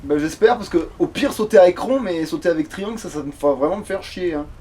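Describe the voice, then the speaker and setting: A man's voice: sits high, quick pace, mellow. A man chatting casually as he plays a game, grumbling and swearing. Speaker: let's play gamer. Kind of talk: casual gaming chat. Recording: clearly noisy home recording.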